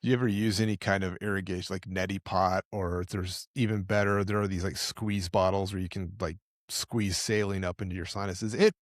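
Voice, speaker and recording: Low-voiced man, slightly nasal from flu. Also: fairly even intonation, fairly quick, dry recording, studio quality. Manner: storytelling, laid-back. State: recovering from flu, lingering cough. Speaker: podcast co-host. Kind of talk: casual podcast chat.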